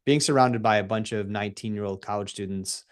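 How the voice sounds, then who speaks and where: A man's voice: on the low side, a little fast, clear, resonant tone. Emphatic male coach on a radio show. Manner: laughs easily, casual. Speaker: guest, coach. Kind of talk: radio interview.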